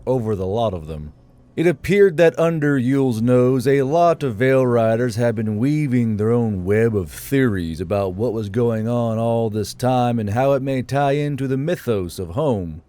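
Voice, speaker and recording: Male narrator for serialized fiction, dark relaxed tone, mid-range pitch. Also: moderate pace. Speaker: narrator and channel host. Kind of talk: scripted story narration.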